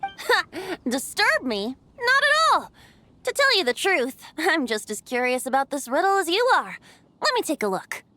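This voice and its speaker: A woman's voice: very high voice, sing-song, moderate pace, bright, forceful tone, exaggerated voice. A woman voicing a carefree, playful young male bard who is secretly a wind god, light and lilting, in a video game. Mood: cheerful, playful. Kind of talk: theatrical video game acting. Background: music.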